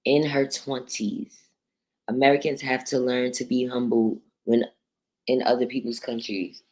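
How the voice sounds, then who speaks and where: Deep-voiced blunt woman livestream host. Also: little pitch movement, measured pace, darkish tone, poor audio. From New York. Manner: blunt, profane and outspoken. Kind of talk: casual livestream chat reading viewer comments.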